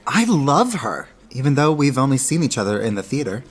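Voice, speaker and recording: A man playing an earnest, romantic young gentleman in love, in a radio verse drama. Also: medium pitch, big pitch swings, fairly quick. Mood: lovestruck. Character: lovestruck earnest young gentleman confiding in a friend. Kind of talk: radio theatre acting in verse.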